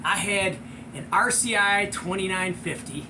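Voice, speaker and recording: Male American storyteller, middle-aged, high voice, chatty and excitable, noisy home-style recording. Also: unhurried. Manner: excitable, slangy, chuckling storyteller. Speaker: show host and storyteller. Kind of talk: animated casual anecdote, with acted-out sound effects.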